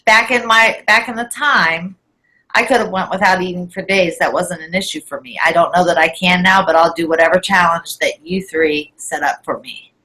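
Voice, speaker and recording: A woman in her forties with a bright, forward voice. She talks in a casual, insistent way and presses her points hard as a guest. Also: lowish voice, steady tone, normal speed, low-quality recording. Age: 40s. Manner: emphatic, insistent. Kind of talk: casual chat.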